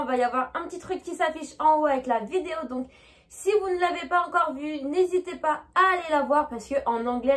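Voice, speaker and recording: A young woman with a French accent teaches pronunciation in a chatty, lively way and keeps checking that her listeners follow. Her voice sits high. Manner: chatty, keeps asking "d'accord?". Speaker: English teacher. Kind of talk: online lesson to camera.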